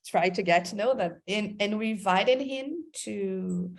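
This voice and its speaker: A woman's voice: mid-range voice, unhurried, gravelly. A woman from Brazil with a Brazilian Portuguese accent, speaking English as an interview guest, thoughtful and full of fillers and restarts. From Brazil, Amazon region. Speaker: creative director and club president.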